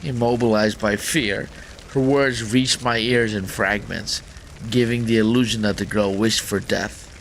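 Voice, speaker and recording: Male gaming host, low pitch, creaky gravelly voice, dramatic visual novel narration, slightly noisy recording. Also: steady tone, unhurried. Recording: faint background noise.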